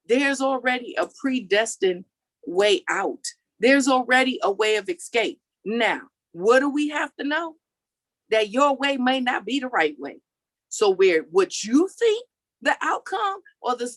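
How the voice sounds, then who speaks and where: A woman's voice: high voice, a bit bright, no room sound. A woman in her forties with a country accent that mixes Texas and Maryland, giving an emphatic motivational talk and often checking in with "okay" and "all right.". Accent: mix of Texas and Maryland country. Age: 40s. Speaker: motivational speaker.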